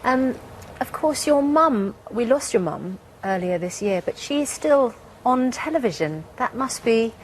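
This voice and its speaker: A woman's voice: fairly high pitch, animated, a little slow, rough recording. Woman presenter with faint background noise. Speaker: broadcast interviewer, presenter. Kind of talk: morning magazine interview.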